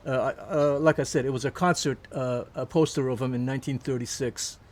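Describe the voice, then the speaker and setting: A man, recalling his life in a casual interview, halting with many fillers and restarts. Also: mid-range voice, fairly even intonation. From Turkey. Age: 70s. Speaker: interviewee, musician.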